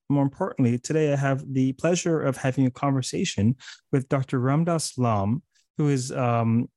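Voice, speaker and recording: A man's voice: medium pitch, a little mellow, no echo, clean studio sound. A man hosting a podcast interview over a video call, chatty, laughing often and restarting his sentences. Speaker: podcast host. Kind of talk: casual interview.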